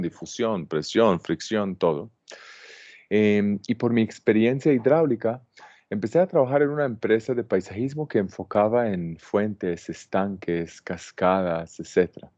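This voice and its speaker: Deep-voiced Israeli man teaching online. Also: dry recording. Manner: calm, halting, many fillers and restarts. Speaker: landscape designer teaching a plant workshop. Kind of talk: online workshop introduction. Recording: dull, dry computer-mic sound.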